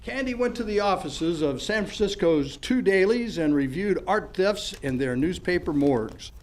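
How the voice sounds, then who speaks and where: Higher-pitched male old-time radio announcer. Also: lively intonation, moderate pace, darkish tone. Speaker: announcer and narrator.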